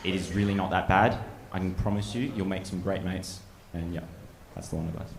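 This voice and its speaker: A man's voice: low voice, fairly quick, creaky. A young Australian man, a trainee officer, answering casually and reassuringly. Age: young adult. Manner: casual, reassuring, relaxed fillers. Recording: echoey hall.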